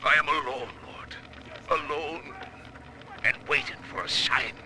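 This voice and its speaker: An actor playing a fanatical cult preacher in a video game, speaking in fervent, archaic biblical language. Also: male voice, on the high side, lively intonation, a little slow, background noise. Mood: anguished pleading. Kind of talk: theatrical video game voice acting.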